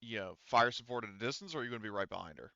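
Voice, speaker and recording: A man working as game master of a casual tabletop game, thinking aloud and explaining rules in a hesitant, halting way. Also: fairly low pitch, creaky, sharp tone, no echo, dull audio.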